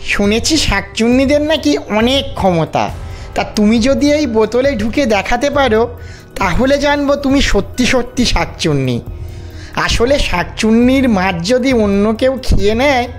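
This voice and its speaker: A woman's voice: on the high side, expressive, moderate pace, faint music behind, lightly acted. A woman voicing a sly male fox in a cartoon fairy tale, with a wheedling, coaxing manner. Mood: crafty. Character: sly, pleading male fox, a henpecked husband.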